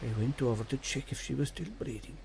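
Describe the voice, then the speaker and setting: An actor playing a genial old storyteller from an island, with an Irish accent, folksy and vivid, in a radio drama. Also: male voice, mid-range voice, fairly even intonation, brisk, vocal fry, noisy background, close to an everyday voice. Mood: animated storytelling. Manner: folksy, teasing, vivid narrator. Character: genial old island storyteller, male, spinning yarns for children.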